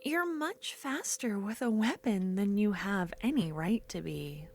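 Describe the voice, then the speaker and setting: A woman playing an obsessive, menacing yet tender duelist rival, bitterly infatuated, in an intimate, halting ASMR fantasy roleplay. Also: mid-range voice, lively intonation, soft background music. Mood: bitter, admiring.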